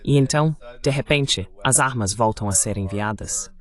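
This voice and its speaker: A man's voice: mid-range voice, normal speed. A man gives political commentary. The delivery is that of a translated voice-over for a panel discussion.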